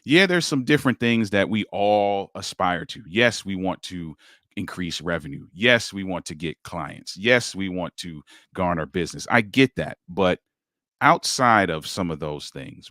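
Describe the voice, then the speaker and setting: A man's voice: sits low, fairly bright tone, no room sound, studio quality. A man hosting a livestreamed podcast, upbeat and encouraging, talking with a preacher's lift as he greets commenters and his guest. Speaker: podcast host.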